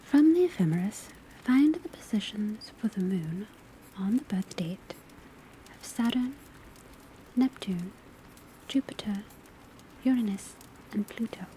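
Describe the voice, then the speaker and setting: A woman playing a patient, studious witch school teacher in an ASMR roleplay, whispering softly and deliberately as she lectures. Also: mid-range voice, lively intonation, lightly acted. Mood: calm, methodical. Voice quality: soft, breathy whisper. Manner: measured, deliberate, instructional. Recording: crackling fire, rain, pencil noise behind. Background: crackling fireplace, rain on roof, pencil writing.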